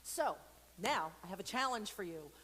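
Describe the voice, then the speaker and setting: A woman hosting a casual read-aloud for kids, playful and expressive, talking straight to viewers with informal, encouraging asides. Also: mid-range voice, moderate pace, long reverb tail, slight background noise. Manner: playful, expressive, with silly sound effects and asides. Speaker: storyteller and church program host.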